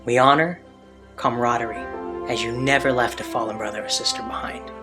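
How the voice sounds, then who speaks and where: A man's voice: medium pitch, steady tone, brisk. A man reading a solemn, scripted tribute about comrades in battle. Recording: reading over loud music.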